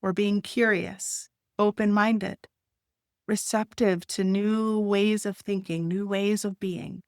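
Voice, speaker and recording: A woman leading a guided meditation, speaking gently in short, unhurried phrases with long pauses. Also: medium pitch, fairly even intonation, no echo, clean studio sound.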